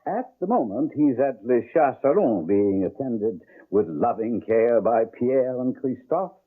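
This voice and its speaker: An actor playing a rich, courtly old landlord in a radio drama, fussy, coaxing and effusive. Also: male voice, medium pitch, lively intonation, unhurried, husky. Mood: doting, effusive. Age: older. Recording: tinny, band-limited old radio broadcast.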